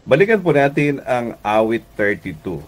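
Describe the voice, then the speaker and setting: A Filipino man preaching a devotional radio message as pastor and host, deliberate and emphatic, often checking in with listeners. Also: mid-range voice, big pitch swings. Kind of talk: devotional radio sermon in conversational teaching tone.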